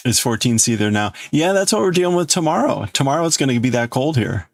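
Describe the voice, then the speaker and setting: A man's voice: mid-range voice, animated, fast, creaky, dry recording, clean studio sound. A man hosting a casual livestream, chatting in a rambling, exclamatory way as he greets and answers viewers. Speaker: livestream host. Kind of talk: casual livestream chat, crafting and reading out viewer chat.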